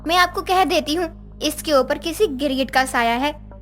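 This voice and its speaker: Casting: female actor as spoiled young tattletale, very high voice, demanding and whiny, cartoon style over music. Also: moderate pace, natural voice. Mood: accusing, sly. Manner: demanding, complaining. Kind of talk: Hindi moral-story cartoon dubbing.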